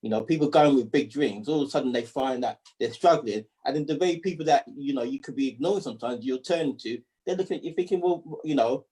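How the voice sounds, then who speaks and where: A man speaking as a panelist in an online discussion, reflective and questioning, often pausing to search for words. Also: mid-range voice, mellow, low-quality recording.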